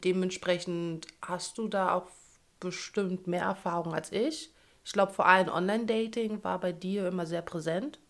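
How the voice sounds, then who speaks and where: A woman in her thirties from Berlin hosts a casual podcast. She talks in a playful, teasing way and laughs easily. Her voice sits a little low. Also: steady tone, normal speed. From Berlin, Germany. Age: 30s. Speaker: podcast co-host. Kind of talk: casual chat.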